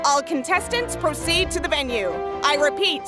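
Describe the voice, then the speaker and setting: A formal game event announcer, projecting in a high, strongly inflected voice with music behind it. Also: female voice, expressive, normal speed. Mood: official. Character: official woman announcer calling contestants to the arena. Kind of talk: video game voice acting, public announcement.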